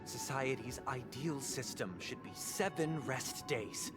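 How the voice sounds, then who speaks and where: A man voicing a serene, eloquent game villain, speaking in a solemn, measured, oratorical way. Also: medium pitch, natural voice. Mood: calm persuasion. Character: serene, eloquent male villain preaching an eternal dream paradise. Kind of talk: theatrical video game dialogue. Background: prominent game music.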